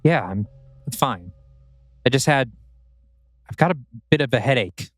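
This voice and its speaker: A man's voice: mid-range voice, animated, unhurried, close to an everyday voice. A man playing a mild, bemused and slightly unsettled young father in a script read-through. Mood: uneasy. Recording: music playing behind.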